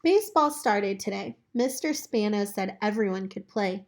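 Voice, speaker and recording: A woman reading a children's book aloud in an expressive way, as a storyteller talking to children. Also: medium pitch, moderate pace, a little hiss or hum. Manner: animated character voices for dialogue, chatty asides to children.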